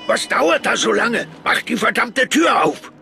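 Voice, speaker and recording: Gravelly, defiant captured resistance man. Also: extremely high pitch, a little slow, over music, heavily acted. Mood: angry, impatient. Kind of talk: video game dramatic acting.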